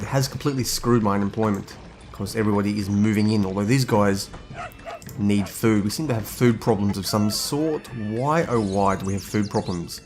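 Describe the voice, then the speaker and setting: A hungover, husky-voiced man, rambling casually as he comments on his own gameplay. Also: lowish voice. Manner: rambling, thinks aloud. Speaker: gaming video host.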